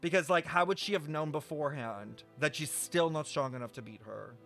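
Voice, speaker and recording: A high-voiced man streams a live game reaction, gushing and dramatic, swearing freely and repeating his exclamations. Game music plays faintly behind him. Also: fairly quick, vocal fry, a bit bright.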